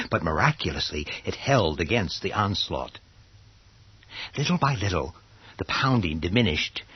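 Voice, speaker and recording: A man narrating a horror radio play in a husky voice, theatrical, with deliberate dramatic pauses. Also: low voice, muffled recording.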